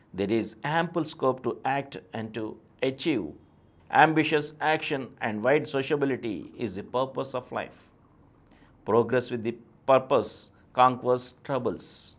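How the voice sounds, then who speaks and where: A middle-aged man with an Indian accent, reading a scripted text aloud in a formal, deliberate way, phrase by phrase. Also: mid-range voice. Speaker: presenter reading answers aloud. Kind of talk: scripted reading of a question-and-answer essay.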